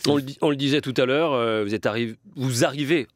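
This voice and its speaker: A man's voice: medium pitch, fairly quick, no room sound. A man hosting a morning radio interview, encouraging his guest with frequent affirmations. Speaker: radio morning show host.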